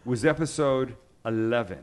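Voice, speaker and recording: A man's voice: medium pitch, moderate pace, clean tone. A man hosting an online cooking show, chatting casually and stretching out words for emphasis. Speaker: host of an online cooking livecast. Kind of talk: casual talk-show interview.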